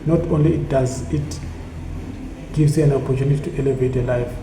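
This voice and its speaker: Earnest man, flat voice. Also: medium pitch. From South Africa. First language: Tsonga. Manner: earnest, halting, repeats phrases. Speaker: community initiative founder. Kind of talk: reflective motivational monologue. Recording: echoey room with steady background noise.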